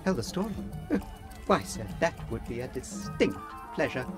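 An actor playing a fussy, anxious protocol droid with a prim, formal, deferential manner in a radio drama. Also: male voice, medium pitch, sing-song, measured pace. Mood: modest, pleased. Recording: music behind radio drama dialogue.

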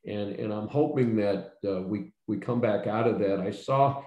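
A man's voice: fairly low pitch, moderate pace, reverberant, lo-fi sound. An older man hosting a casual panel discussion over a video call from his home study, rambling with many fillers and restarts. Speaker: host. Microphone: video call webcam.

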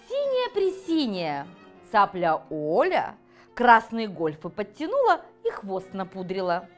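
A middle-aged woman reading a children's fairy tale aloud in an expressive storytelling style. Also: on the high side. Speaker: children's writer reading her own tale. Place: cosy furnished room set, indoors.

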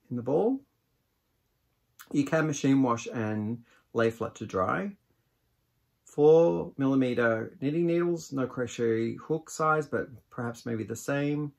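A man in his forties giving a casual product review to camera, unhurried and musing as he thinks aloud. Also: medium pitch, vocal fry. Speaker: yarn vlogger, host. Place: small indoor craft room with shelves of yarn.

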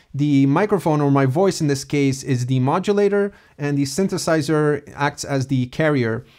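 A man in his thirties explains software step by step in an informal, methodical tutorial style, recorded on a close mic. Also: a little high. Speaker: music production tutor. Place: small home studio room.

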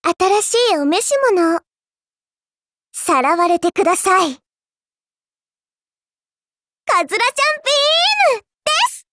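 A woman's voice: very high voice, very animated, unhurried, pauses often, sharp tone, dry recording, studio quality, heavily acted. A woman voicing a dainty, childlike little girl in a mobile game, polite and prim in speech with a teasing, cruel streak. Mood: polite, playful. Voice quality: childlike, cutesy. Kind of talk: Japanese mobile game character voice lines.

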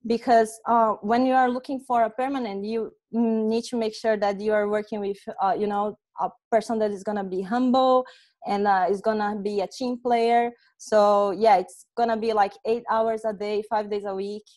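A young woman from Brazil speaks with a Brazilian accent at an average pitch. She presents informally on a video call, pausing often in a conversational way through an earphone headset. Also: fairly even intonation, a little mellow. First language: Portuguese. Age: young adult. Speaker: presenter, software testing specialist. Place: small room at home, indoors.

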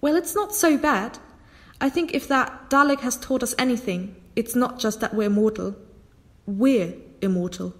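A woman's voice: on the high side, a little slow, fairly bright tone. A woman playing the weary, earnest daughter of a besieged family in a sci-fi audio drama, speaking plainly. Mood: gentle, wary.

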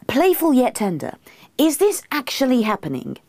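A woman's voice: on the low side, very animated, unhurried, dark tone, dry recording. A woman voicing a sleepy, exasperated husband who grunts and answers reluctantly in a comic book reading. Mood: disbelieving. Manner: grunting, despairing, reluctant replies.

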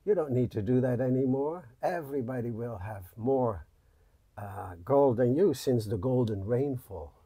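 A man's voice: medium pitch, lively intonation, measured pace, mellow, breathy edge, no echo, voice pushed up. A man voicing a little talking mouse in a chirpy, lifted voice. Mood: cheeky.

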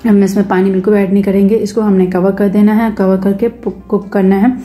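Even-toned Indian cooking vlogger woman. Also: medium pitch, fairly quick, soft background music. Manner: step-by-step instructive, mixing English cooking terms into Hindi. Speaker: cooking channel host. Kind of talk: casual recipe voice-over.

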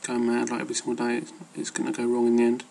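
A man's voice: mid-range voice, monotone, rapid-fire, vocal fry, dark tone. A man giving casual, rambling advice as an amateur fitness vlogger, hesitant and loosely worded.

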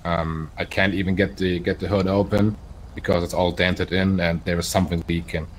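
A man's voice: low voice, level tone, normal speed, a little hiss or hum. A man chatting casually in character as a police officer in an online role-play game.

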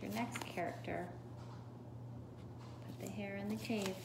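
Slow-paced woman in an echoey, noisy room. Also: mid-range voice, steady tone. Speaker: teacher reading a picture book aloud. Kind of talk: storybook read-aloud and craft demonstration. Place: home kitchen, indoors. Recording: echoey room with clear background noise.

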